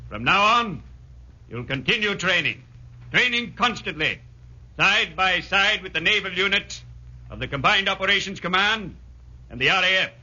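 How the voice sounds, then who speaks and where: A stern commanding officer in an old radio play, addressing his men formally. His voice is raised high and rings out very bright, with big swings. The recording is dull and dated. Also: animated, moderate pace, exaggerated voice. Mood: solemn, rousing. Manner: declamatory, formal. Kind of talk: 1940s radio acting, formal speech. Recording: muffled 1940s radio broadcast.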